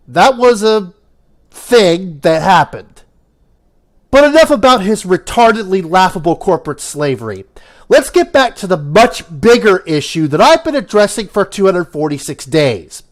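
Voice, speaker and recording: A YouTube commentator with a congested, nasal voice from a cold, delivering scripted, sarcastic, profane commentary. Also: medium pitch. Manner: sarcastic, profane, theatrical emphasis.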